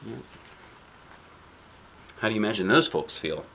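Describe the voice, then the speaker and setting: A man's voice: lowish voice, lively intonation, a bit bright, slight background noise, rough recording. A man reading a picture book to children, with conversational asides and questions to the listener. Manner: asks the listener questions, pausing to explain pictures. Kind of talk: read-aloud storytelling with conversational asides.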